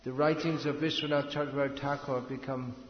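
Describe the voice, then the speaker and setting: A man giving a slow philosophical spiritual lecture, halting and restarting his sentences. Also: medium pitch, level tone. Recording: echoey hall, lo-fi with faint noise.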